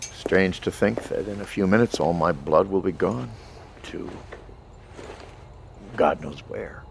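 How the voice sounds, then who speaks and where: Doomed doctor, male, very low creaky voice, somber resignation, audio drama. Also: slow, slight background noise, exaggerated voice. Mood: resigned, somber. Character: resigned male doctor facing his own death.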